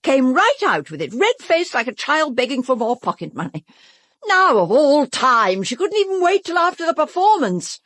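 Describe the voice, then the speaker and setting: An actress playing an imperious, theatrical stage-star wife in a radio drama, commanding and pointed beneath sweet endearments. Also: female voice, high voice, sing-song, moderate pace, a bit bright, no room sound, studio quality. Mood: scornful.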